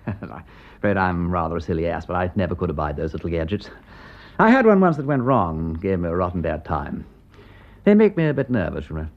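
An aristocratic English amateur sleuth in a radio drama, breezy and a bit nervy. His upper-class voice sits lowish and leaps about in pitch, full of old-fashioned slang. The recording is slightly dull. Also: big pitch swings, a little fast. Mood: nervy, polite. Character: genial, nervy aristocratic English gentleman detective, light-hearted silly-ass manner.

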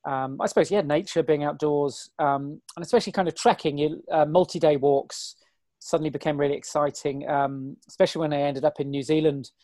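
A man in his forties, a guest on an interview, talking in a chatty, self-deprecating, rambling way with a hoarse, weary voice. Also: fairly high pitch, steady tone, brisk, warm tone, no echo, studio quality. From Britain. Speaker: guest, ultrarunner. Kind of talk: casual interview chat.

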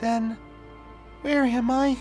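A man voicing a frightened but defiant little girl in an audio storybook, pleading in a high, tearful voice. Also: steady tone, slow, a little mellow, soft background music. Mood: scared.

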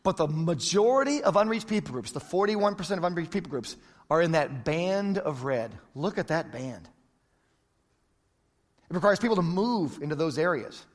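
A man's voice: fairly high pitch, expressive, normal speed. Emphatic male preacher in a reverberant hall. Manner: emphatic, repeats phrases for effect. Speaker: pastor. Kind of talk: sermon.

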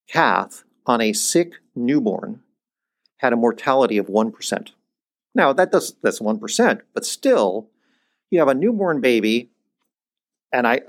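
Older male cardiologist guest, mid-pitched, reflective, frequent restarts. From United States, born in Iceland. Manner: thoughtful, restarts often, rises in pitch when making a point. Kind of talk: conversational interview.

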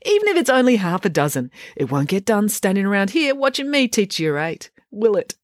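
A woman's voice: mid-range voice, sing-song, a little fast, no room sound, clean studio sound, voice pushed up, natural voice. A woman doing a breezy, bossy scientist mum. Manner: breezy, chirpy, talks over others. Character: brisk eccentric scientist mother, oblivious and bossy.

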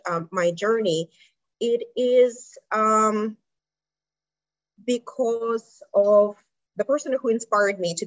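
A middle-aged woman from Kazakhstan who speaks English as a second language, telling her career story on a panel with frequent pauses and ums. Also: mid-range voice, no room sound. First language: not English. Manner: thoughtful, many um pauses. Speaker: health plan president. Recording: dry, dull lo-fi sound.

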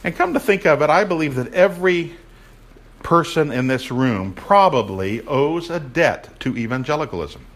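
A man's voice: a little high, lively intonation, moderate pace. Man lecturing a room on church history. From raised in a Baptist family. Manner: projecting, asks the audience questions. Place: room with an audience.

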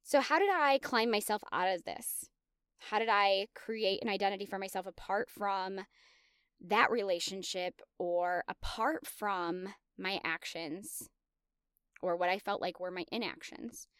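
A woman's voice: mid-range voice, measured pace, a bit bright, smooth, no room sound, studio quality. A woman in her thirties hosting a podcast, telling her own story in a candid, confessional, self-reflective way. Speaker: podcast host. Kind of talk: personal storytelling monologue.

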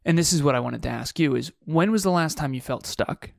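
Podcast guy thinking out loud, dry close sound, restarts a lot. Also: on the high side, fairly quick, creaky, no room sound. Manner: musing, thinks aloud with restarts. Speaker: podcast co-host. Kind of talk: casual podcast chat.

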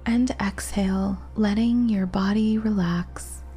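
A woman narrating a guided relaxation meditation in a soft, gentle, soothing manner with long pauses. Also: mid-range voice, steady tone. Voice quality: soft, breathy. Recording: meditation music behind the voice.

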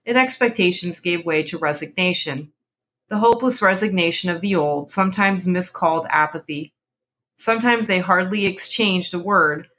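A woman's voice: on the low side, a bit bright. A woman narrating a literary horror story as a dramatic reading.

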